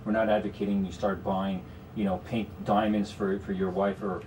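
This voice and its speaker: A man's voice: lowish voice, little pitch movement, moderate pace, darkish tone, far from the mic, a little hiss or hum. A man with a Canadian accent, a guest strategist explaining investments in a radio studio conversation, with many "you know"s. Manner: explanatory, talks in long runs with frequent "you know".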